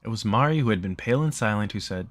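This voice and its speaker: A man's voice: on the low side, lively intonation, very fast, no room sound. A man reading a visual novel aloud as dramatic narration, dropping into casual asides. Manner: acts out character dialogue.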